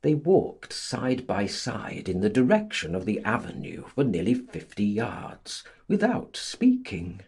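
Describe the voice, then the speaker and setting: A man narrating a literary audiobook in a theatrical, deliberate, scripted style, with a husky voice. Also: fairly low pitch, wildly swooping pitch, darkish tone.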